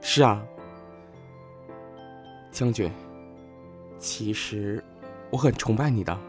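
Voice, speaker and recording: A man's voice: lowish voice, slow pace, faint music behind. A man playing a polite, admiring young academy graduate in a modern audio drama. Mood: earnest, admiring.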